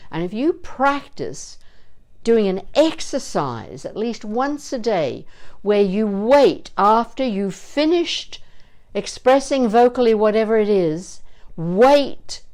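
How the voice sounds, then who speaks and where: An older woman with a somewhat low-pitched voice teaches as a voice coach. She talks informally and playfully, wandering into asides as she explains things to her students. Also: darkish tone. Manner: chatty, digressive, playful. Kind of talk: informal instructional talk with demonstrated vocal exercises.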